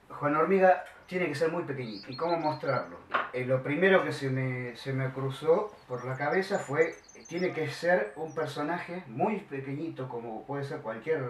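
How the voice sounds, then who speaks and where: A man talks informally as an author, pausing and repeating words while he thinks. The recording is roomy and distant, with some background noise and birdsong. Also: mid-range voice. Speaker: author and illustrator. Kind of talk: informal talk about his own book.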